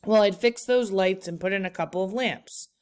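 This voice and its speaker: A kindly, handy old grandfather from a storybook as a woman reads him aloud. The voice is clear and smooth, and he talks in a folksy, easygoing way, gently coaxing with steady optimism. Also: mid-range voice, normal speed, natural voice. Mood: persuasive.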